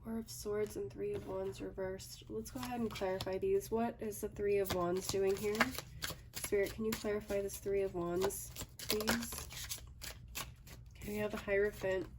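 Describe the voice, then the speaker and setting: Woman, hoarse from a cold, casual tarot reading, says okay a lot, a bit off-mic, faint noise. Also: mid-range voice, steady tone, unhurried, long pauses, far from the mic. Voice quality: slightly raspy. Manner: thinking aloud with frequent "okay" and "um". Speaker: tarot reader.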